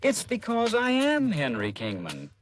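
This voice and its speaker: An actor playing an earnest, folksy young American drifter in a 1950s radio drama, plain-spoken and deferential. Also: male voice, high voice, huge pitch swings, unhurried, full character voice. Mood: earnest, pleading. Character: earnest, folksy young male drifter pleading his identity. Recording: noisy old radio recording, clicks.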